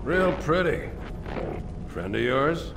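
A man's voice: a little high, big pitch swings, takes it slowly, creaky, noisy background, full character voice. An actor playing a firm male starship captain giving orders in a game cutscene. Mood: stern. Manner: firm and authoritative. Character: calm, stern male starship captain in command. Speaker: ship captain. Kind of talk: video game cutscene acting.

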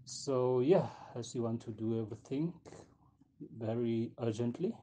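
A man's voice: medium pitch, unhurried, warm tone, natural voice, rough recording. A man playing a sympathetic, polite investigator in an amateur audio drama, speaking with hesitant care. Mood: sympathetic, earnest. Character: sympathetic male investigator taking a witness statement.

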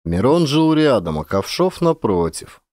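Male actor for classic fiction audiobook, mid-pitched, expressive storyteller, dry close sound. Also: unhurried, no echo. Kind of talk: dramatic scripted literary narration.

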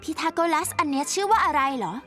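A woman's voice: high voice, sing-song, quick pace, over loud music. A woman voicing a precocious four-year-old girl scientist in a cartoon dub, speaking in a formal, lecturing, matter-of-fact way. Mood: chatty.

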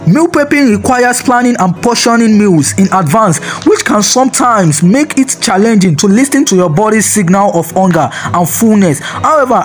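A man with a high voice narrates a scripted health video as its host. He reads evenly from a script, sometimes with a sing-song lilt. Faint music plays under his voice-over. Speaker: channel host.

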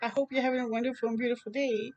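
A woman's voice: a little high, little pitch movement, very fast, a little mellow, lo-fi sound. A middle-aged woman hosting a children's storytime, reading aloud expressively with chatty asides. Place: outdoor balcony near a park.

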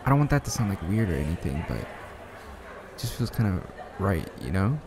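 A man acting a shy, smitten young man in an ASMR roleplay, speaking softly and hesitantly with bashful stammers. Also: low-pitched, big pitch swings, fairly quick, background noise. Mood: tentative, smitten. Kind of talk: ASMR roleplay, soft one-sided conversational acting.

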